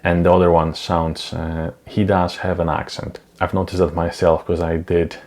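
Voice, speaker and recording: Deep gravelly man speaking English as a second language. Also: level tone, a little mellow. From Europe. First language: not English. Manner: unhurried, many filler ums and uhs. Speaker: vlogger. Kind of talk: casual talking-head vlog. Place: small dim home studio, indoors. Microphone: clip-on lapel mic.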